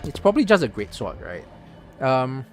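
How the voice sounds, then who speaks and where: A man's voice: medium pitch, huge pitch swings, normal speed, faint music behind. Rambling male streamer from Singapore. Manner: rambling, thinks aloud with many fillers and restarts. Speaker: streamer reacting to a game story. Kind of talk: casual live-stream commentary.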